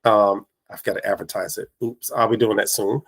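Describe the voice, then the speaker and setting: A man hosting a casual podcast chat, his voice raspy from allergies, telling lively stories and acting out quoted remarks. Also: lowish voice, moderate pace, rough recording. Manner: animated storyteller, acts out quoted exchanges. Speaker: podcast host. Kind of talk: casual conversation.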